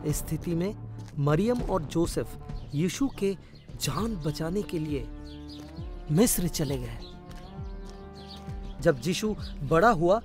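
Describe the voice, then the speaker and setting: A male narrator tells a scripted cartoon tale in a high voice. His intonation moves widely, and loud music sits behind him.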